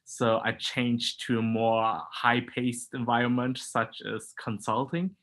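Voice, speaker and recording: A man's voice: medium pitch, fairly even intonation, slow, fairly bright tone. A man, a guest in a podcast interview, speaking hesitantly with many fillers. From Germany. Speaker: entrepreneur guest.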